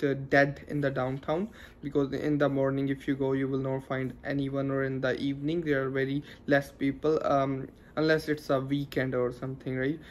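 Young man with a Punjabi accent. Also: mid-range voice. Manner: earnest, chatty, many uh fillers. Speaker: vlogger. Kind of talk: casual talking-head vlog. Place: living room in daylight. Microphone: handheld lapel mic close to mouth.

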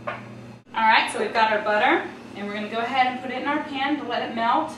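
A woman's voice: on the high side, fairly even intonation, quick pace. Roomy, noisy kitchen recording of an American woman. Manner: chatty, instructive, addresses viewers directly. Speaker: cooking show host and chef. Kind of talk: casual cooking demonstration. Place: home-style kitchen at the stove, indoors. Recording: roomy, distant mic, loud kitchen noise. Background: sizzling pan, clattering pots and stirring.

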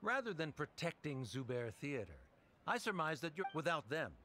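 An actor playing a condescending, verbose academic lecturing an opponent in a video game debate. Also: male voice, on the high side, sing-song, moderate pace, background noise. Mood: argumentative. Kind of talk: video game dialogue acting.

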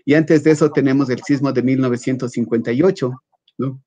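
A middle-aged man explaining as an academic panelist on a video call, haltingly, repeating words and adding questioning tags. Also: mid-range voice, fairly even intonation, dark tone. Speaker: scientist, workshop panelist. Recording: slightly dull video-call audio.

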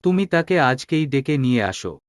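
A man plays a rich, heavy, ailing vizier in a cartoon fable. His voice sits at a middle male pitch in a dry, close recording. He talks formally, as one used to giving orders. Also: big pitch swings, normal speed, no echo, lightly acted. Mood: commanding. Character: ailing, obese, wealthy male vizier, commanding. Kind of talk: animated moral tale dialogue.

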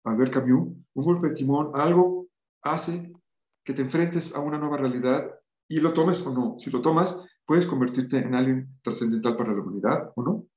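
A man's voice: mid-range voice, normal speed, a little mellow, echoey room. A middle-aged man giving a lecture over a video call, reading passages aloud and adding his own remarks with occasional restarts. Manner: reads aloud with asides and restarts.

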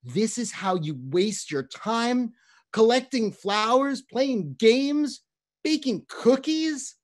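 A man voicing an angry old male rabbi who shouts outraged questions in a raised, high voice. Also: animated, takes it slowly, heavily acted.

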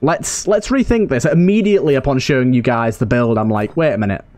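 A man's voice: medium pitch, brisk, a little mellow. A man giving casual let's-play commentary as a host, thinking aloud and repeating himself. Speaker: gaming video host.

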